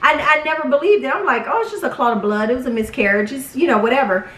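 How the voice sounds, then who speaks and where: A young woman telling a personal story casually, with animated, dramatic retelling and quoted dialogue. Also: high voice, quick pace. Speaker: vlog storyteller. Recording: roomy echo, distant from mic.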